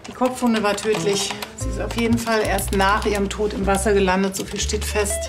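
A woman's voice: mid-range voice, flat delivery, fairly quick, over music, natural voice. A woman playing a dry, precise forensic pathologist in a TV crime comedy. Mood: matter-of-fact. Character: matter-of-fact, dry female forensic pathologist. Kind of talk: scripted TV comedy crime drama acting.

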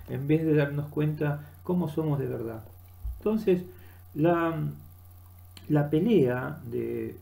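Gravelly middle-aged Argentine man. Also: a little high, mellow. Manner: halting, rambling, searching for words. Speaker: vlogger. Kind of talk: unscripted political monologue. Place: small room indoors. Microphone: webcam at desk distance.

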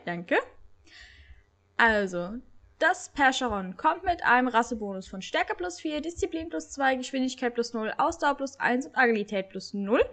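Young woman, stuffy from a cold, chatty game commentary, kinda high voice, gets hyped. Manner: excited, chatty, stumbles when reading. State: has a cold. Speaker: gaming channel host. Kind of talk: casual gameplay commentary with reading aloud.

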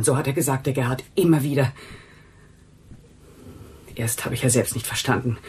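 A woman's voice: rumbling low, breathy edge, full character voice. A woman playing a defensive, agitated housekeeper in a radio crime drama, speaking in insistent, broken sentences. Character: defensive, agitated housekeeper protective of her brother. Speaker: actress in a crime radio play. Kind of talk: German radio drama acting.

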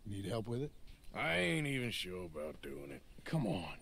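A gravelly, lively voice with a dry, sardonic drawl, a rough cowboy outlaw scheming over a job. Faint noise sits behind the game audio. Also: male voice, fairly low pitch, normal speed, exaggerated voice.

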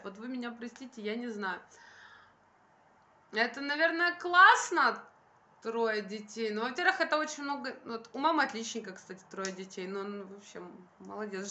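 Bright, high-voiced young woman chatting. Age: young adult. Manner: emphatic, repeats phrases, colloquial. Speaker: vlogger and mother. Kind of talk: casual chat to camera. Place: indoor room by a window. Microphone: phone at arm's length.

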